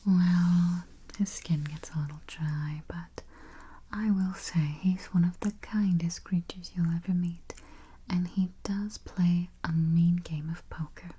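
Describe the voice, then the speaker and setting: A woman doing a soft, breathy, mostly whispered ASMR roleplay as a politely morbid vampire travel agent, murmuring with dry understatement close to the microphone. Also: fairly low pitch, steady tone, slow. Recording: faint hiss, close keyboard typing and clicks. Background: typing, clicking and gentle tapping.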